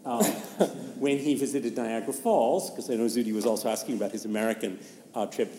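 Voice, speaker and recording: A man giving informal scholarly commentary in a halting, wry way. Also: medium pitch, dark tone. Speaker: panelist, literary commentator. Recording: reverberant hall, faint noise.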